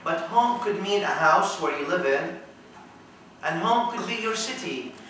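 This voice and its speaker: A man's voice: high-pitched, expressive, unhurried, fairly bright tone, a little hiss or hum. A man giving a religious lecture to a live audience, explaining a verse piece by piece and quizzing his listeners. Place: lecture room in a college. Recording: very echoey room, mic at a distance.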